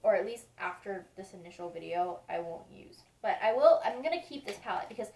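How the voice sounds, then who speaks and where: A woman's voice: mid-range voice, lively intonation, a little fast, vocal fry, warm tone. A woman vlogger with a stuffy, sniffly nose, chatting casually and rambling as she reviews beauty products. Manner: chatty, rambling, repeats reassurances. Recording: roomy sound, mic at a distance.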